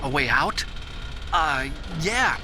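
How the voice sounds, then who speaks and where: An actor playing an earnest, nerdy engineer in a video game cutscene, boyish and anxious yet bravely resolved. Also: male voice, on the high side, very animated, slow pace, background noise. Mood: eager, helpful. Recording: clear music behind the voice.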